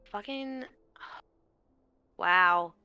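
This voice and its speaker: A woman giving casual, expressive, gushing reactions as co-commentator on a game stream. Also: on the low side, very slow, dull audio, soft background music.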